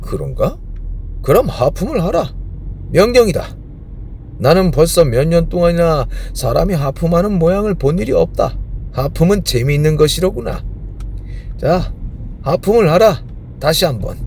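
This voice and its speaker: A man's voice: fairly high pitch, very animated, a little mellow, a little hiss or hum, pitched above the performer's own voice. A man voicing a proud, good-natured storybook king who issues pompous commands. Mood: pompous, commanding. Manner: pompous, commanding, drawn-out decrees.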